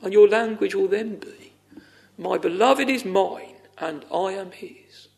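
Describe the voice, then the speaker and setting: A man's voice: sits high, slow, vocal fry. A man preaching a fervent extempore evangelical sermon, exclamatory, repeating phrases for emphasis with sighing interjections.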